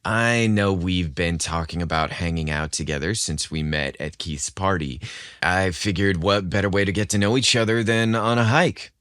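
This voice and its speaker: A man's voice: deep voice, normal speed, a bit bright, clean tone, no echo, studio quality. A man voicing an eager, slightly sheepish athletic young man in casual, friendly story dialogue.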